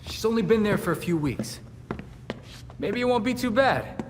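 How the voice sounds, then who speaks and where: A woman's voice: mid-range voice, animated, normal speed, big-room sound, a little hiss or hum, lightly acted. An actress playing a thoughtful, wary young female vigilante in a video game cutscene.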